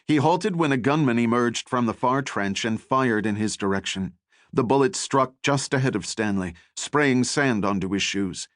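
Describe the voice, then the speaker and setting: A man narrating adventure fiction as an audiobook, with a measured, deliberate and dramatic delivery. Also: medium pitch, a bit bright, no echo, studio quality.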